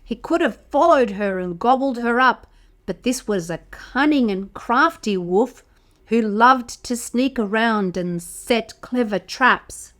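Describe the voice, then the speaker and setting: A woman reading a picture book aloud to toddlers as a storyteller, expressive and speaking directly to young listeners. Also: fairly high pitch, slow pace. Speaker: children's storyteller.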